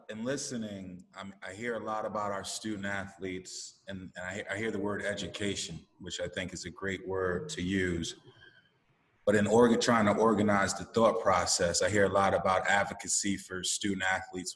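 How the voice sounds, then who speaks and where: A man coach speaking at a town hall discussion, measured and searching for his words. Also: low voice, level tone, fairly bright tone. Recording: echoey room with long reverb.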